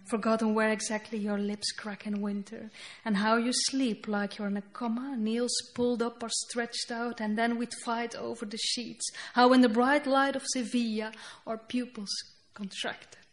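A woman whose first language is Dutch, speaking English with a Dutch accent as she reads her poetry slowly and deliberately on a festival stage. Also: fairly high pitch, little pitch movement. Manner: slow, deliberate reading with halting English asides. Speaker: poet. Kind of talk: poetry reading. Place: festival stage before an audience.

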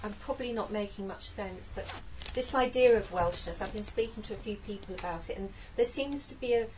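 A woman's voice: mid-range voice, brisk, darkish tone. Woman from Wales, unsure audience question, heard from back of room, faint hiss. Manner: rambling, unsure, searching for words. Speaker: audience member asking a question. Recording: distant room mic with faint noise.

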